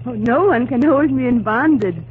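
An actress playing a wide-eyed, spirited pioneer wife in an old-time radio drama, earnest and full of wonder. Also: female voice, fairly high pitch, expressive, moderate pace, natural voice. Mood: joyful. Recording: muffled old radio recording, faint noise.

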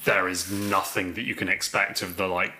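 Wry man with a low, bright voice. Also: flat delivery, normal speed, a little hiss or hum. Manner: wry, self-deprecating, sardonic. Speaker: podcast co-host. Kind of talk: casual political podcast banter.

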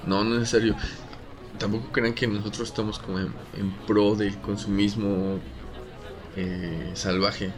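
A man's voice: on the low side, flat delivery, unhurried. A man with a Mexican accent co-hosting a casual podcast chat, slangy and joking, rambling with restarts. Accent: Mexican Spanish. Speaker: podcast co-host.

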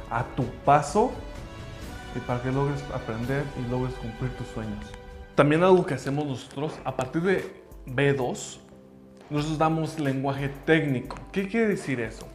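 Man, native English speaker, mid-pitched, earnest motivational school pitch; roomy sound, light music bed. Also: moderate pace. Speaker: language school director. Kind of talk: motivational promotional talk. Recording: roomy echo with faint music.

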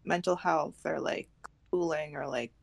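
A woman's voice: low-pitched, measured pace, vocal fry. A young woman chatting casually as a podcast co-host, in an informal, loosely phrased way. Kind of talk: casual chat.

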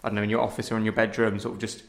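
A man's voice: on the low side, level tone, racing, creaky. A man talking casually and thoughtfully as an interviewed theatre director, often hedging as he goes. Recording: roomy, echoey sound.

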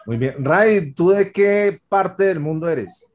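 A man's voice: sits high, expressive, slow pace. A man hosting a casual radio interview, friendly and rambling, calling his guest "brother". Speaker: radio host.

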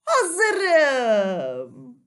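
A woman's voice: sits high, huge pitch swings, drawn-out words, heavily acted. A woman voicing a tiny, timid but cheeky grey mouse in a fairy tale, with an exclaiming, protesting manner. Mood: excited.

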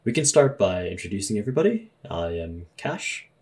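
A man's voice: fairly low pitch, very animated, brisk, darkish tone. A young man from Canada hosting a casual podcast, asking friends curious questions. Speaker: podcast host.

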